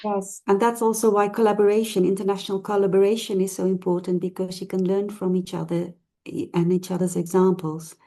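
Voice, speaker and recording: A woman's voice: mid-range voice, little pitch movement, a little fast, warm tone. A middle-aged woman researcher being interviewed, speaking hesitantly with frequent fillers and restarts. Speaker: researcher guest. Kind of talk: casual interview talk.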